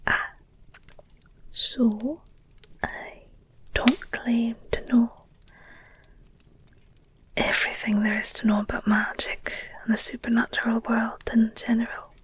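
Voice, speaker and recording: A woman playing an affectionate, candid werewolf girlfriend in an intimate ASMR audio roleplay, speaking tenderly and hesitantly in a breathy whisper. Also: fairly high pitch, flat delivery. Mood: thoughtful, gentle. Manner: slow, tender, hesitant, confiding. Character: affectionate werewolf girlfriend, gentle, candid, wistful about her past.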